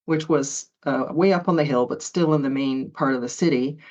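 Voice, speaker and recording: A woman's voice: rumbling low, normal speed, a little mellow. A woman author being interviewed, talking in a chatty way with frequent laughs and hesitant fillers. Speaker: author guest. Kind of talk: conversational interview.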